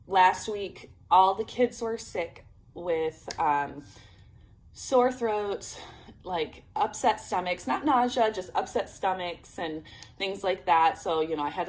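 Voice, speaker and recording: Woman, 30s, homeschool vlogger, slightly low pitch, talking through a sore throat; chatty and upbeat, plenty of fillers. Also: slight background noise, poor audio. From Illinois, United States. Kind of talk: casual chat to camera.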